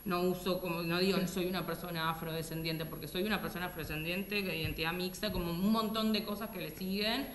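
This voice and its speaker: A panelist thinking aloud in a halting way, with many fillers and restarts. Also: mid-range voice, steady tone, a bit bright, slight background noise. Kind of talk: panel discussion. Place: echoey hall. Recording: echoey hall.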